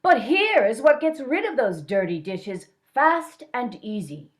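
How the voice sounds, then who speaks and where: An actress playing a polished film star giving a salesy, theatrical 1930s radio advertisement. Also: female voice, on the high side, very animated, a little slow. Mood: poised, salesy.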